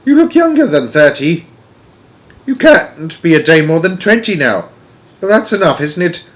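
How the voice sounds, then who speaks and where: A man voicing an excitable old grandfather in a storybook, flustered and exclaiming loudly. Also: a little high, normal speed, pitched above the performer's own voice. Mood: excited. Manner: shouting, agitated, exclamatory. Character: excitable old grandfather, flustered and alarmed about his wife.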